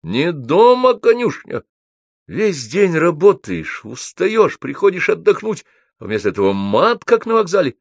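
A man's voice: high-pitched, sing-song, unhurried, dry recording, studio quality, voice pushed up, exaggerated voice. A man voicing a prim, indignant male critic with a trained, declamatory delivery.

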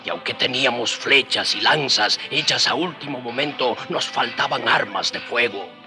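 An actor playing a grave native narrator who recalls the Spanish conquest in a radio serial. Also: male voice, mid-range voice, moderate pace, lightly acted. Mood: proud, mournful. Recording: music behind the voice.

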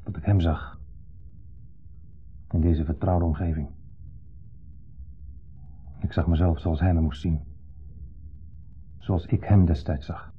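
A man's voice: low-pitched, steady tone, slow pace, long pauses, slightly rough, full character voice. An actor in a 1970s radio play voicing an insecure, needling man with a Netherlands Dutch accent, who speaks theatrically and dwells pointedly on his words. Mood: wistful, restrained. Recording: muffled, band-limited 1970s radio recording.

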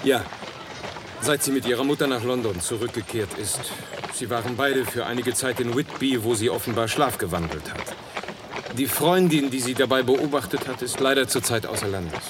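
An actor playing an earnest, courteous, solicitous doctor in a German radio play, formal and measured. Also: male voice, medium pitch, noisy background, lightly acted. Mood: earnest, concerned.

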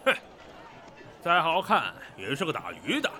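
Man acting a brash, wildly animated fishmonger. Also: on the high side, a little slow, some chatter behind, slight background noise, full character voice. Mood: sarcastic. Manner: jeering, colloquial, needling. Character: brash, mocking village fishmonger. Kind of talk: Chinese period audio drama acting.

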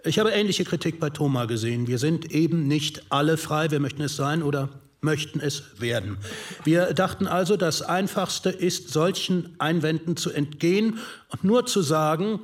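A man's voice: fairly high pitch, moderate pace, distant mic, natural voice. An actor playing a cautious, earnest male delegate arguing courteously in a postwar committee radio play. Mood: earnest, reasoning. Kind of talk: radio play, re-enacted debate.

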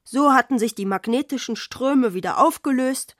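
A woman's voice: a little high, normal speed, fairly bright tone, dry recording, clean studio sound. A woman narrating a literary radio drama from a script.

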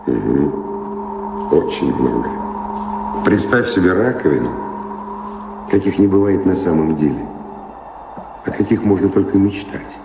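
An actor playing a weary, dreamy wandering adventurer in a radio drama, musing and halting in a poetic way. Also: male voice, low-pitched, lively intonation, gravelly, heavily acted. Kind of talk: theatrical radio drama acting. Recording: roomy reverberant, noisy old radio play recording.

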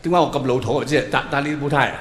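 A man's voice: mid-range voice, very fast. A middle-aged Hong Kong Cantonese man doing live stand-up, chatty and colloquial, putting questions straight to a big audience. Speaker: stand-up comedian. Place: large indoor arena stage. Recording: echoey arena PA, long reverb, noisy.

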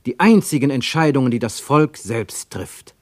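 A man's voice: medium pitch, expressive, moderate pace, darkish tone, no echo, pitched above the performer's own voice, lightly acted. A male narrator puts on a fervent, zealous German youth in a radio drama. The delivery is exalted and declamatory, raised above plain narration, in a dry studio. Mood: fanatical, proud. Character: zealous German boy reciting Nazi-era pledges with exalted faith. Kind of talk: radio play narrator voicing quoted speech.

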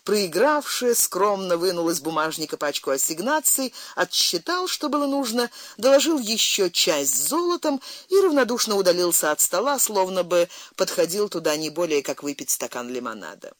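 A narrator reading literary prose in an expressive storytelling style. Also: mid-range voice, moderate pace, few pauses, no echo. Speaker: audiobook narrator.

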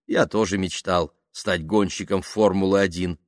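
A man's voice: low voice, fairly even intonation, moderate pace, dry recording, clean studio sound, close to an everyday voice. Man playing a blustering, patronizing businessman. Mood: soothing condescension. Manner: smooth condescension breaking into outraged yelling. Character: blustering, patronizing middling businessman, suspicious and hot-tempered.

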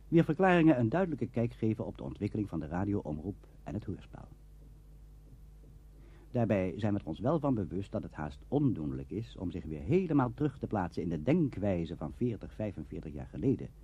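Lage, hese mannenstem, donker, levendige intonatie, doffe opname. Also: male voice, on the low side, mellow, slightly rough. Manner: formal, measured storytelling. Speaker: documentary narrator. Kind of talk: scripted narration. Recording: dull lo-fi archive tape.

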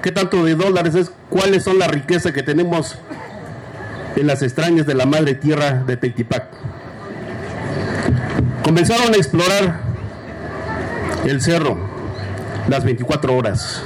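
A Mexican man from Oaxaca speaks to a forum crowd, measured and unhurried, breaking his thoughts into short phrases. His voice sits a little high for a man. Traffic and open-air noise run clearly underneath. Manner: slow, deliberate, short phrases with pauses. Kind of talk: public speech.

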